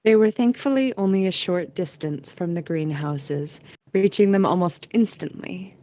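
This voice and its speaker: A woman's voice: fairly low pitch, moderate pace, mellow, dry recording. A woman reading scripted story narration as the narrator of an amateur audio drama.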